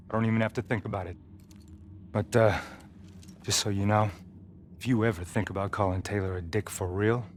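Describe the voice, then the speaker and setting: A man's voice: on the low side, slight background noise, close to an everyday voice. A man playing a brash, foul-mouthed soldier mentor in a video game, talking in a slangy, brotherly, swaggering way. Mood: teasing, cocky. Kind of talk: video game cutscene acting.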